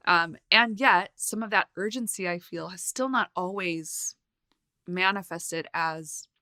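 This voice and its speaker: 30s woman on a podcast, earnest, lots of um and like, bright voice, dry close mic. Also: on the low side, dry recording. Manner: earnest, searching, many fillers. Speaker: podcast co-host. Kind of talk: reflective podcast conversation.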